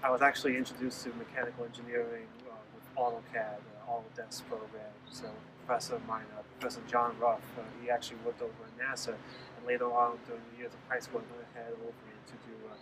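A man's voice: medium pitch, completely flat delivery, quick pace, recorded from a distance, background noise. A man in his thirties, a graduating engineering student, rambles through his background with many uhs.

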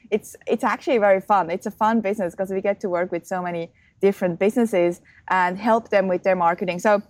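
A woman's voice: medium pitch, dry recording. Swiss-born woman on a podcast, chatty and upbeat, laughs easy, dry sound. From Switzerland. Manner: upbeat, explanatory, laughs easily. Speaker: guest, marketing business co-founder. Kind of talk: podcast interview.

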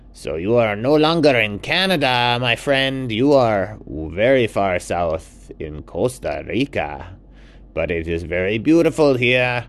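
A man puts on a welcoming storybook toucan. The pitch is lifted well up, and the words are drawn out with courteous, helpful emphasis. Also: fairly bright tone, heavily acted. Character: welcoming, helpful male Costa Rican toucan. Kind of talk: children's bedtime storybook character.

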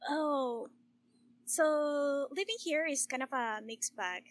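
A woman with a high-pitched voice. She talks slowly and haltingly, in an informal way suited to a casual podcast conversation. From Chile. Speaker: podcast co-host. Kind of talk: casual chat.

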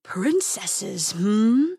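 A huge male dragon from a storybook, acted out by a woman, speaks in a growling, menacing way. The words come slowly and drawn out, in a close, dry recording. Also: mid-range voice, big pitch swings, vocal fry, warm tone, slightly rough, dry recording, exaggerated voice. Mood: ominous. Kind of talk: storybook character voice.

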